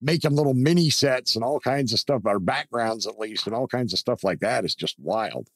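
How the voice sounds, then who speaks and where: A man's voice: medium pitch, lively intonation, normal speed, no echo, clean studio sound. A man hosting a podcast interview, chatting casually and praising his guest with enthusiasm. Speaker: podcast host.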